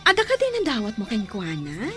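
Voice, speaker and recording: A woman's voice: a little high, wildly swooping pitch, a little fast, bright, forceful tone, soft background music, heavily acted. A woman playing a teasing, conniving friend of a schemer in an Ilocano radio drama.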